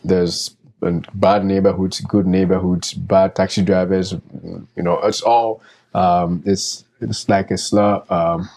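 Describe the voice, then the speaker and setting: A man with a very low, mellow voice talks through practical advice in a relaxed podcast chat. He speaks as a doctor, hedging with fillers and restarting his sentences as he goes. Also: slow pace, creaky. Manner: conversational, many fillers and restarts. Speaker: doctor and co-host.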